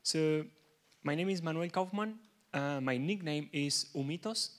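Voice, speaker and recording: A young man from Argentina, a native Spanish speaker with an Argentine accent, giving a nervous, halting conference keynote in a large hall. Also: fairly high pitch, fairly bright tone. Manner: nervous, halting, many restarts.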